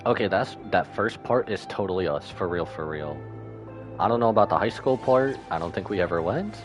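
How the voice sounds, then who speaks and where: A man's voice: low voice, animated, a little fast, music in the background. A man giving casual, slangy live reaction commentary as a game streamer. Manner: slangy, informal.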